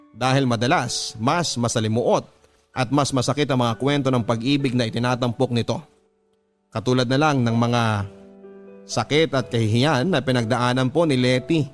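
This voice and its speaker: A man with a Filipino accent delivers earnest, sentimental scripted storytelling in the manner of a radio drama host reading a letter. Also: medium pitch. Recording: music bed under the voice. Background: background music.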